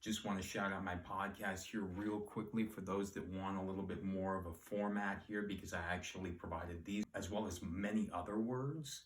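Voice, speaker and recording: A man teaching word origins in a relaxed, rambling, self-deprecating way. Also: low-pitched, normal speed, few pauses, far from the mic. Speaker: Latin etymology teacher. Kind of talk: casual solo etymology lesson. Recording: echoey room, voice off-mic.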